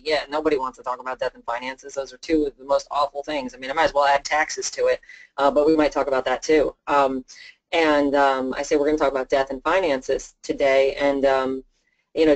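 An insurance sales trainer gives an informal talk to colleagues on a conference call. The delivery is chatty and conversational, often playing out what would be said to clients. The voice sits at a middling pitch. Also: low-quality recording. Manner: informal, chatty, role-plays client dialogue.

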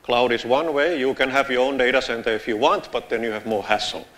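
A man's voice: mid-range voice, brisk. A man from Finland in his thirties with a Finnish accent, giving a casual, joking conference talk on a lapel mic in a large lecture theatre. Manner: casual, joking, lively gestures while walking the stage. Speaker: conference speaker.

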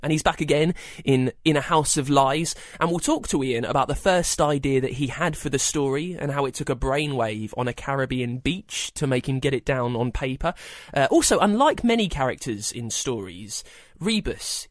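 British podcast host, dry close sound. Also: male voice, medium pitch, lively intonation, normal speed, no echo. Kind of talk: scripted podcast introduction and interviewing.

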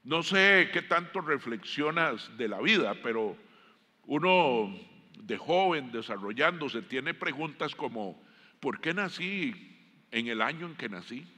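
Casting: middle-aged Costa Rican male preacher, bright forceful voice, deliberate pauses, long auditorium reverb. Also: medium pitch, expressive. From Costa Rica. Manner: deliberate, with frequent pauses and emphatic phrasing. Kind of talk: sermon. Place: large indoor church auditorium stage. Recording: long hall reverb from stage sound system.

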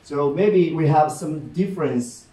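A middle-aged man with a Japanese accent telling comic stories live to an audience, animated and checking in often. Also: a little high, unhurried. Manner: animated, warm explaining with gestures and frequent "okay?" checks. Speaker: rakugo storyteller. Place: meeting room, indoors. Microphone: far camera in the audience. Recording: roomy sound from a distant camera.

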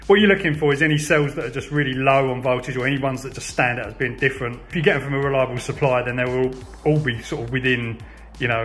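Man, medium pitch, casual step-by-step demonstrator, informal hobbyist delivery, music in the background. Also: fairly bright tone. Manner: informal, explains step by step while working with his hands. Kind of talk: casual hands-on tutorial narration.

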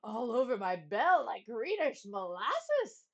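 A woman's voice: a little high, wildly swooping pitch, slow, muffled recording, full character voice. Woman doing a flustered old bell ringer. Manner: startled, fussing mutter.

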